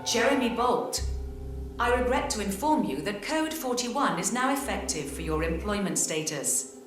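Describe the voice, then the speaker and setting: An impersonal announcer in a video game, reading formal notices. The voice echoes heavily and swings widely in pitch around an average female register, with music behind. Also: animated, normal speed. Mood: formal, detached.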